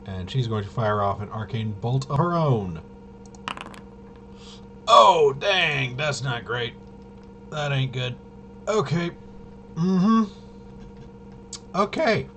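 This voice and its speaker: Slow-talking man over clear background noise. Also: mid-range voice, big pitch swings, sharp tone. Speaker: player running a tabletop game demo. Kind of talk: casual thinking-aloud gameplay commentary.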